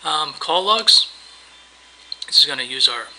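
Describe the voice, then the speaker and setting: A man presenting a software tutorial, narrating a screen demo in a casual, explanatory, step-by-step way. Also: on the high side, expressive, unhurried, a little hiss or hum, rough recording. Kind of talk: screencast demo narration, semi-improvised walkthrough.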